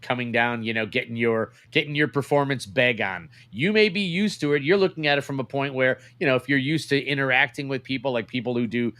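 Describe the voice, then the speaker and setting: A man's voice: mid-range voice, fairly quick, hardly any pauses, sharp tone. A man co-hosting a podcast in casual, sarcastic banter, needling as he talks. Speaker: podcast co-host.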